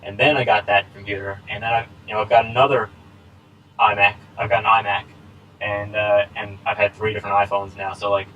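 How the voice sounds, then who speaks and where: A man's voice: lowish voice, level tone, fairly quick, dull audio, slight background noise. A man in his twenties co-hosting a casual podcast, rambling with plenty of fillers and laughs. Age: 20s. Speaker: podcast co-host. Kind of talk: casual chat. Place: small home setup. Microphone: laptop recording.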